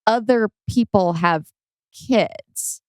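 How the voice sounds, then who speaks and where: Clear-voiced woman, dry studio sound. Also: mid-range voice, very slow, no room sound. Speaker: podcast panelist giving script feedback. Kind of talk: casual critique.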